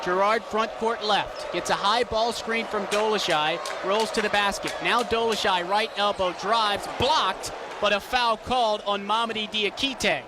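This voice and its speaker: A young man works as a sports reporter and play-by-play announcer, his voice pitched high. He speaks in clipped broadcast phrasing, with a clear crowd and arena noise behind him. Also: steady tone, a little slow, hardly any pauses. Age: young adult. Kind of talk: live sports reporting and radio play-by-play commentary. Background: crowd noise, bouncing basketball.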